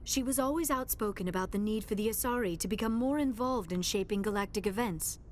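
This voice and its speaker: A woman's voice: mid-range voice, fast, a little mellow, a little hiss or hum, close to an everyday voice. An actress voicing a young alien woman scientist in a video game, shy and earnest, with formal, precise diction. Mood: troubled. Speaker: archaeologist crew member.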